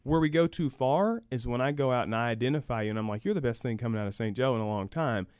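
A man with a mid-pitched voice speaks as a guest on a sports talk show. He explains things emphatically, doubling words for stress, in a dry, close studio sound. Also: fast talker, a little mellow, clear, resonant tone, no echo. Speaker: guest, university program director.

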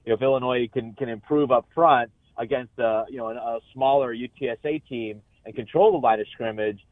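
A man comes through on a dull, band-limited line, dry and free of room sound. He speaks as a sports journalist guesting on a radio show, halting and repeating words as he lays out his analysis. His pitch sits in the middle for a man. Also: no echo. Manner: halting, repeats words, frequent "you know". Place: remote call into a radio show.

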